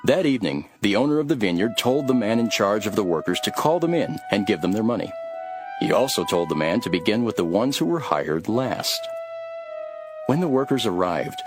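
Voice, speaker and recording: A man playing a calm, authoritative religious teacher in a dramatized scripture, solemn and measured. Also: lowish voice. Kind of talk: dramatized scripture reading with storytelling. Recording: background music under the voice.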